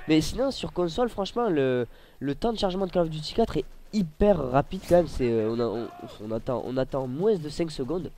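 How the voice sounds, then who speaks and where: Gaming YouTuber with a head cold, higher mellow voice, hesitant and rambling over his gameplay, some faint noise behind. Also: animated, a little fast. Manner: rambling, hesitant, many fillers. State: has a cold. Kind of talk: casual gameplay commentary. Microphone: desk mic.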